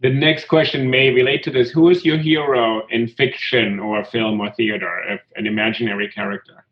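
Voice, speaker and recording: A man's voice: medium pitch, fairly even intonation, moderate pace, echoey room, highs cut off. A man interviewer who asks questions and adds enthusiastic asides. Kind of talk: conversational interview.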